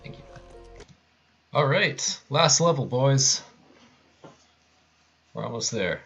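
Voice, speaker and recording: A man's voice: mid-range voice, animated, takes it slowly, creaky, fairly bright tone, lo-fi sound. A man giving casual, wry live commentary on a video game speedrun, talking to his viewers. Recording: game music behind.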